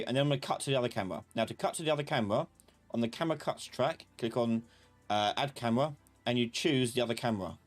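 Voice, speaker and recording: A man teaching a live software class, thinking aloud in a casual, informal way as he explains step by step. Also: medium pitch.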